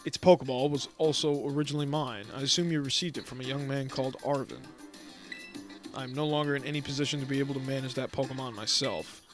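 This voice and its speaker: A man's voice: medium pitch, steady tone, soft background music, close to an everyday voice. A man reading aloud the lines of a courteous, formal male academy director in a video game. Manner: courteous and measured.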